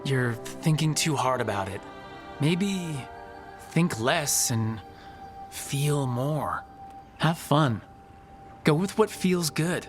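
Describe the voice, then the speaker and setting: An actor playing an easygoing adventurer leader in a video game, relaxed, encouraging and playfully teasing. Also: male voice, on the high side, takes it slowly, pauses often. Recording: game music behind the voice.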